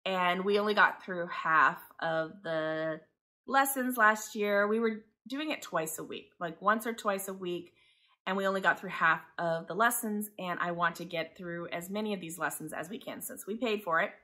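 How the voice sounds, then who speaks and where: A woman's voice: fairly low pitch, moderate pace, sharp tone. A woman in her forties from Taiwan, a homeschooling mother talking in a casual, rambling, conversational vlog style. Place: living room by a window, indoors.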